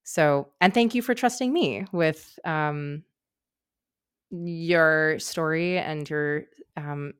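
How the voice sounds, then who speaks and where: A woman's voice: low voice, slow pace, clean tone, dry recording, studio quality. A woman hosting a conversational podcast interview, effusive and emphatic in the way she talks. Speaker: podcast host.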